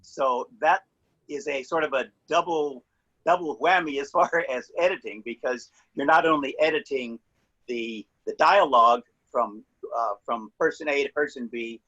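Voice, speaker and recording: Slightly muffled call audio carries a husky man's voice. He explains his work informally, in a halting way, often doubling back on his words. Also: mid-range voice, bright, forceful tone. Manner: halting, hesitant, repeats words. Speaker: panelist, filmmaker and editor of online play. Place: video call.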